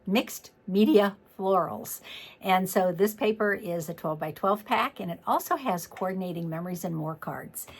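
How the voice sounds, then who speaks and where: A middle-aged woman demonstrating card making in a chatty step-by-step tutorial, slightly hoarse as she is losing her voice. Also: lowish voice. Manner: chatty, walks through each step. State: losing her voice, throat clearing. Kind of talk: casual crafting tutorial.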